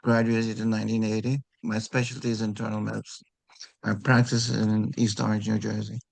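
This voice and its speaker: A man's voice: lowish voice, little pitch movement, brisk, gravelly, dry recording, low-quality recording. An older man, a physician introducing himself on a video-call panel in short, clipped statements. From Guyana. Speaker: internal medicine physician, panelist.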